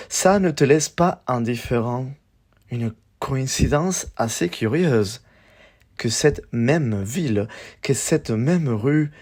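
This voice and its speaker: A man reciting spoken-word poetry in a measured, declamatory way, in short phrases set apart by pauses. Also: medium pitch, a little mellow.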